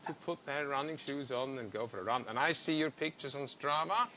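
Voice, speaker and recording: A man's voice: medium pitch, brisk, pushed and bright, a little hiss or hum. A man who grew up in Africa and speaks, hosting a podcast in a chatty style and jumping between thoughts. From South Africa. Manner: chatty, jumps between thoughts, laughs easily. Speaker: podcast host. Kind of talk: casual podcast chat.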